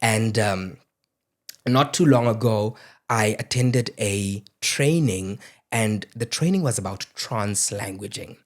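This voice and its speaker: A young man from South Africa whose first language is Afrikaans, talking in a measured, reflective and motivational way as a podcast guest. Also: fairly low pitch. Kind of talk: casual interview chat.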